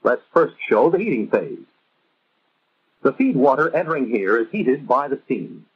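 An American man narrating a 1950s instructional film in a measured, emphatic, teacherly way, on an old film soundtrack. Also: medium pitch, big pitch swings, husky, narrow, tinny audio.

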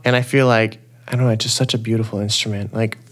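A man's voice: fairly low pitch, flat delivery, fast talker. A young man hosting a podcast, chatting in an enthusiastic, rambling way and saying "like" often. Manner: enthusiastic, rambling, says like a lot. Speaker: podcast host. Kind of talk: casual interview chat.

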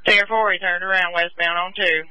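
Very bright, high-pitched male dispatcher. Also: level tone, brisk, rough recording. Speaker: police dispatcher. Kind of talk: repeating radio updates as dispatch.

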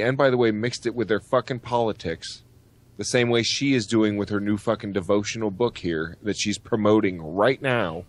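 Deep-voiced, blunt man on a podcast. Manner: blunt, heavy swearing. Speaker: podcast host. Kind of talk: ranting, profane podcast chat.

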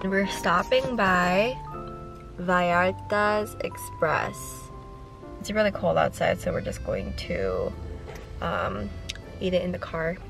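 A young American woman talks casually to her vlog camera at a slow, easy pace. Her voice sits at an average pitch, with loud music playing behind it. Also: lively intonation, vocal fry, poor audio. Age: 20s. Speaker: vlogger. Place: kitchen, car, beach. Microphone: handheld camera at arm's length.

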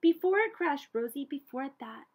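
A woman's voice: high-pitched, lively intonation, moderate pace. A woman voicing an exuberant, encouraging elderly great-aunt in a picture-book story, exclamatory and emphatic. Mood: excited, encouraging.

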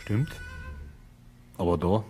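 A sceptical Bavarian detective in a radio play. His voice is on the low side and his wit is deadpan, with faint music behind him. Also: takes it slowly. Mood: laconic. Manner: deadpan, ironic. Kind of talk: naturalistic radio drama acting.

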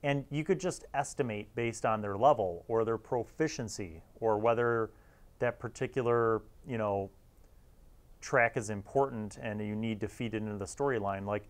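A man in his forties hosting a casual review, talking conversationally with emphatic asides into a lapel mic. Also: on the low side. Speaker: host of a tabletop gaming review series. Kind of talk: casual review and commentary on a magazine.

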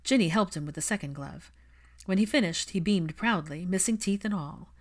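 A woman's voice: fairly low pitch, moderate pace. A woman narrating fan fiction as scripted audiobook storytelling.

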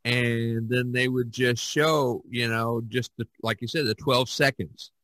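A man's voice: mid-range voice, fairly even intonation, unhurried, fairly bright tone, no room sound. A man hosting a podcast in casual discussion, rambling with many fillers and restarts. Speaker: podcast host.

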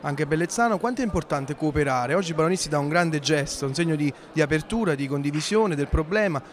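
Male local reporter, talks fast, slightly high voice, asking interview questions. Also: animated. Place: large indoor council hall. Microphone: handheld interview microphone.

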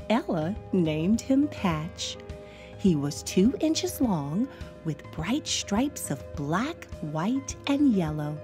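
A woman narrating a children's storybook as an expressive read-aloud. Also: medium pitch. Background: soft music.

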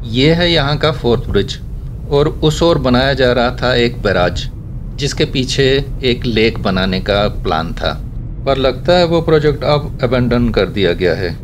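A man narrates a drive through his city from behind the wheel, chatty and dryly amused at other drivers. His voice sits at an ordinary middle pitch. Faint road and engine noise hums under the talk inside the car. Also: animated, a little slow. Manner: chatty, wry remarks about traffic manners. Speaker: vlogger giving a city driving tour. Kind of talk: casual running commentary while driving.

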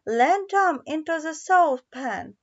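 A woman's voice: sits high, expressive, slow pace, full character voice. A woman voicing eager talking vegetables in a children's storybook. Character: eager talking vegetables planning soup together. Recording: dull lo-fi recording.

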